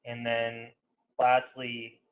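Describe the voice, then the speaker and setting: A man giving an informal software demo as a consultant in a webinar, conversational and hesitant, often saying "you know". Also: medium pitch, completely flat delivery, very slow. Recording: narrow phone-like webinar audio.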